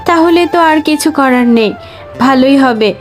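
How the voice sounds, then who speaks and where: A woman's voice: sits high, normal speed, faint music behind, close to an everyday voice. A woman voicing a caring mother fox in a children's fairy-tale cartoon, talking in a soothing, explanatory way. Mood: reassuring.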